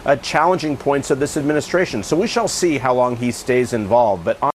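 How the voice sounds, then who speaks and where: A man's voice: medium pitch, fairly quick, a little hiss or hum. An American man reporting live as a television news correspondent.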